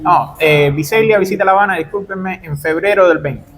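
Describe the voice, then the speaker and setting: A man's voice: sits high, fairly quick, crowd noise. A man with a Cuban accent giving discursive, detailed, explanatory answers as an author being interviewed. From Cuba.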